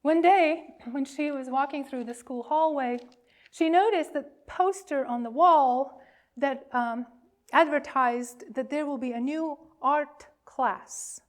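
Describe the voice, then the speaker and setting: Slow, higher-pitched woman minister telling a story. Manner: deliberate phrasing with pauses. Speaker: minister leading worship. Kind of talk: worship reading and children's storytelling.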